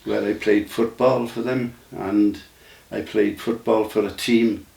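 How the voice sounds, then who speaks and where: A man, recalling his memories in a casual, halting way with many restarts. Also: fairly low pitch, steady tone, gravelly, a little mellow. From Wales. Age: 90s. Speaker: interview guest. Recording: roomy sound from a distant mic.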